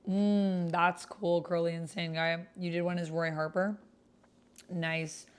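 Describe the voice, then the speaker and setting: A woman's voice: fairly low pitch, level tone, takes it slowly, clear, resonant tone. A woman hosting a roleplay livestream, talking informally to her viewers and reading chat. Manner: informal, chatty, addresses viewers. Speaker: streamer hosting a tabletop roleplay. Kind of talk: casual livestream chat, reading chat and story text aloud.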